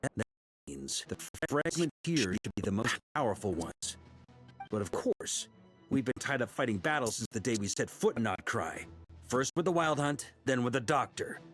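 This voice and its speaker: An actor playing a confident, genial knight commander in a fantasy video game, with a rallying, theatrical manner. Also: male voice, mid-range voice, expressive, normal speed, fairly bright tone, close to an everyday voice. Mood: explaining plans. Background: game music.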